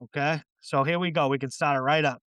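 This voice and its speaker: A man's voice: on the high side, fairly even intonation, fast, a bit bright, clear, resonant tone, no echo. A man hosting a podcast interview, loose and chatty with plenty of fillers. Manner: loose, chatty, lots of fillers and "you know". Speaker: podcast host.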